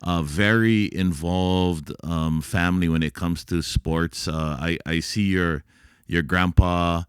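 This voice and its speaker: A man's voice: sits low, steady tone, takes it slowly, smooth, dry recording, clean studio sound. A man from Hawaii hosting a podcast, asking questions in a friendly, chatty way. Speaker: podcast host. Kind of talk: casual interview.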